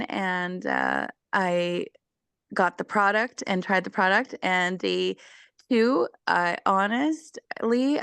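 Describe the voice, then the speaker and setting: A woman's voice: mid-range voice, creaky, fairly bright tone, clean tone, dry recording. A woman who is losing her voice, sharing her story as a network marketing guest on a video call.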